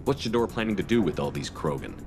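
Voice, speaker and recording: Low-voiced steely military commander. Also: male voice, over music. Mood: calm, probing. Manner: measured, deadpan, interrogating. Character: steely military commander, dry, calmly menacing. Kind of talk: video game acting.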